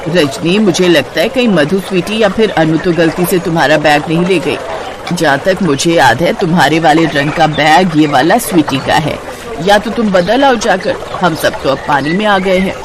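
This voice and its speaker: A woman voicing a kind, resigned sister-in-law who leads a group, gentle and consoling, in a moral cartoon story. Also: lowish voice, normal speed, background noise, pitched below the performer's own voice, lightly acted. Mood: helpful.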